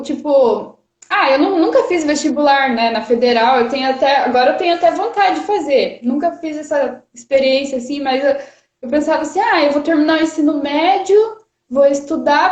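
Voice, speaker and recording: Chatty high-voiced Brazilian woman, nervous. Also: darkish tone. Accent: Paraná Brazilian Portuguese. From Rio de Janeiro, Brazil. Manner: chatty, nervous, laughs often, many fillers. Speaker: guest. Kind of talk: casual livestream chat. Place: her parents' house, indoors. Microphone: phone on a charger. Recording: muffled, roomy livestream audio.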